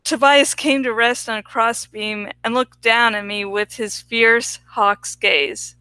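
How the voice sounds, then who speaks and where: A woman narrates a story in a very bright, crisp voice. Her pitch sits a little high and she takes her time, pacing it slowly. The sound is close and dry, like an audiobook read. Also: fairly even intonation, no room sound. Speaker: audiobook reader. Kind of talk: expressive first-person story narration.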